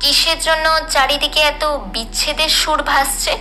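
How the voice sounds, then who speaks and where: A woman plays Love itself in an audio drama, earnest and quick to take offence. The voice is high and sharp, dramatic and pointed, over soft music. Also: flat delivery. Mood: questioning. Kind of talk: scripted audio story dialogue.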